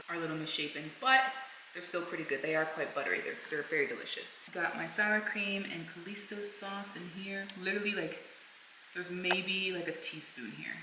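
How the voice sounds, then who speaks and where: Woman, 30s, chatty vlogger voice, bright and forward, light background hiss. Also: on the low side, rough recording. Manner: chatty, addresses viewers as y'all and folks. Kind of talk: casual vlog chat to camera. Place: home kitchen, then a car.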